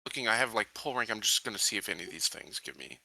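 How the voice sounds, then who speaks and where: A man's voice: fairly low pitch, very animated, quick pace, gravelly, sharp tone, no room sound, rough recording. A man chatting casually as a player in a tabletop roleplaying game.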